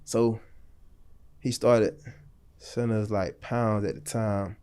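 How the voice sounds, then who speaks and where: A man's voice: on the low side, flat delivery, slow, warm tone, clear, resonant tone. A man in his late thirties from North Florida, a podcast guest telling long stories in a loose, slangy, rambling way. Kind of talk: casual storytelling interview.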